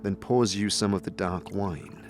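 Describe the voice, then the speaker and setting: A man's voice: low voice, measured pace, over music. A man narrating a scripted fantasy story in the second person, describing a scene as narrator. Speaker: narrator and game character reader.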